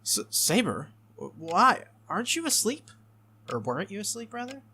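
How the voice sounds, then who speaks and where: A man voicing a stubborn, earnest young male hero in a visual novel, protective and halting in his speech. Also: a little high, lively intonation, sharp tone, full character voice. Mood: startled.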